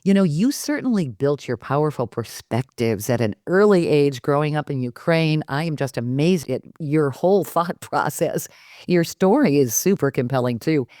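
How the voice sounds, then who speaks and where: Woman host, bouncy lively voice, reads the intro then chats, dry close sound. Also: deep voice, normal speed, no room sound. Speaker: podcast co-host. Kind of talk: scripted intro then casual interview.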